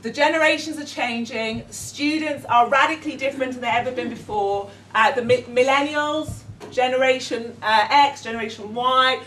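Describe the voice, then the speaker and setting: A woman's voice: sits high, moderate pace, hardly any pauses, fairly bright tone. A middle-aged woman with a London accent leading an educators' workshop, hesitant with many ums, friendly and self-deprecating. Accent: London English. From London, England. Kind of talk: conference workshop talk. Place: conference room with audience. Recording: echoey room, speaker far from mic, faint noise.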